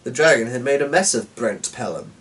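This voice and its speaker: A man giving a measured, theatrical dramatic reading of a short story as a storyteller. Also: mid-range voice, animated, fairly bright tone, distant mic.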